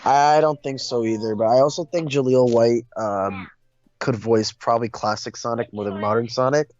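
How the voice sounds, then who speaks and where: A man chatting casually as co-host of a movie discussion, hesitant, with many pauses and fillers. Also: medium pitch, fairly even intonation, a little mellow. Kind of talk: casual chat. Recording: dull lo-fi audio, music bed. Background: music.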